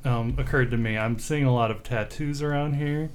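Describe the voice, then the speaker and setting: A man's voice: mid-range voice, moderate pace. Rambling man hosting, faint hiss. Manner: rambling, filler-heavy questions. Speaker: podcast host. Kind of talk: casual interview chat.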